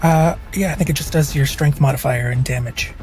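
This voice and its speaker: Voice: medium pitch, fairly quick, a little mellow. A player casually explaining their character's turn. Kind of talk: tabletop game play. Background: music.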